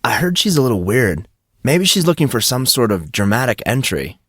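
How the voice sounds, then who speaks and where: A man's voice: mid-range voice, very animated, normal speed, fairly bright tone, slightly rough, no echo, clean studio sound. Man playing a slangy, teasing teenage schoolboy. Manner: slangy, says "bro" often. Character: brash, movie-obsessed teenage schoolboy, casual and teasing.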